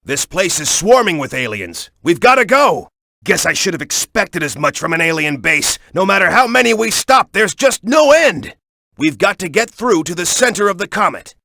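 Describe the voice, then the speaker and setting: A hot-headed young male video game hero with a high, bright voice. He is brash and urgent, swinging widely in pitch as he punches out his lines. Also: very animated, moderate pace, creaky, dry recording, studio quality, heavily acted. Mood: wary, serious, then fierce, determined. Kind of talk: video game character voice clips.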